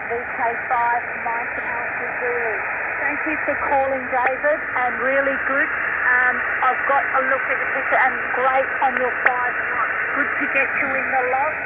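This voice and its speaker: Aussie lady on ham radio, shortwave sound, super noisy. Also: high voice. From Australia. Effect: shortwave single-sideband radio. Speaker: amateur radio operator. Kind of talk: radio contact exchange.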